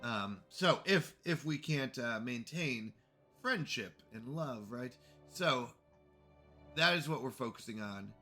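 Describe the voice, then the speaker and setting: Bright-voiced congested man streaming a game. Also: medium pitch, lively intonation, takes it slowly, soft background music. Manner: chatty, greets chat viewers. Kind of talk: casual live game commentary.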